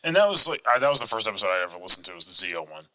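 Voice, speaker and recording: A man's voice: low voice, big pitch swings, very fast, crowd noise, rough recording. A man hosting a podcast in casual, enthusiastic fan chat, often quoting his own reactions. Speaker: podcast host.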